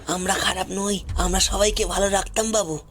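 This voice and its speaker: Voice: medium pitch, steady tone, normal speed, a bit bright, close to an everyday voice. Performer doing a pleading, anguished poor forest tribesman. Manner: pleading, repeats phrases insistently. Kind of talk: dramatised audio story acting.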